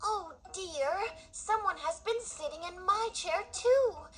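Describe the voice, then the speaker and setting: A storybook mama bear, played by a woman. She sounds warm and motherly but a little fretful, speaking slowly in a high voice. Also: faint music behind. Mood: gently dismayed.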